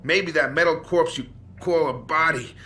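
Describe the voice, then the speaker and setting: A man playing a wounded, bitter investigator in a video game drama, halting and sardonic as he struggles through pain. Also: a little high, pushed and bright, slight background noise. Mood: weakened, urgent. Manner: halting, sardonic, repeating himself. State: in pain, slurring and faltering. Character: gravely wounded, bitter, foul-mouthed older investigator on morphine.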